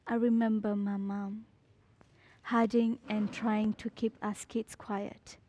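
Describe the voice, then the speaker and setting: Slow, low-toned teenage girl reading. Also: flat delivery, dark tone, a little hiss or hum. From Burma. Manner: slow, measured, deliberate reading. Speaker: student. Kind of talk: reading a personal story.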